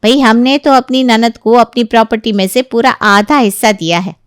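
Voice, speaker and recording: A woman acts out a meddling neighbour for a dramatized story. She is sly and gossipy, talking persuasively in a fairly high voice, recorded dry and close. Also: moderate pace, clear, resonant tone, dry recording, lightly acted. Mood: sly, insinuating. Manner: insinuating, persuasive. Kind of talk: Hindi audio story character acting.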